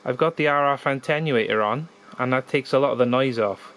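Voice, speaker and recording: A man talking casually and in a measured, easygoing way as an amateur radio operator. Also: medium pitch, a bit bright. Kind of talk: casual ham radio chat with call signs. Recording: faint radio hiss in the room.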